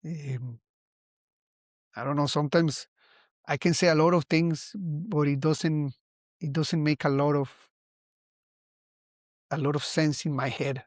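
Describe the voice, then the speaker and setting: A man's voice: a little high, fairly even intonation, dry recording, studio quality. Musing Costa Rican man in his forties. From Costa Rica. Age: 40s. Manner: musing, halting, many restarts. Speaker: vlogger. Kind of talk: reflective casual monologue.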